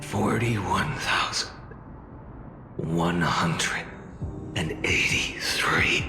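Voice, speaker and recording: A burdened young warrior from a fantasy game, grim and resolute. He speaks very slowly in a low, solemn voice, weighing each phrase. His voice echoes over clear dramatic music. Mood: grim resolve. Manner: solemn, measured, brooding. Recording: echoing voice over loud music.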